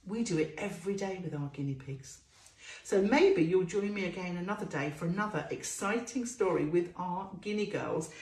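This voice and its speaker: Female children's storyteller, very lively sing-song delivery, drawn-out key words, roomy echoing recording. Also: medium pitch. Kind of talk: storytelling to young children with questions and phonics.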